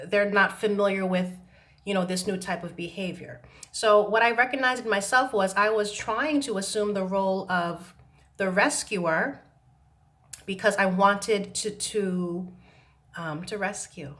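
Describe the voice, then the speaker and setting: Woman vlogging to her camera, lots of ums, slightly rough voice, medium pitch. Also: fairly bright tone. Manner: hesitant, many "um"s and restarts. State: recovering from laryngitis, slight roughness. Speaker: self-help vlogger. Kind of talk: reflective talk to camera. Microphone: camera mic.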